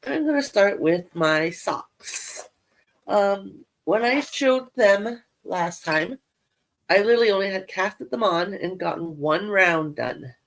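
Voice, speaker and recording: A middle-aged woman, nasal from a head cold, chatting casually and rambling a little as she shows her knitting to viewers. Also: medium pitch. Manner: chatty, rambling, self-deprecating. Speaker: yarn crafting vlogger. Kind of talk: casual show-and-tell chat.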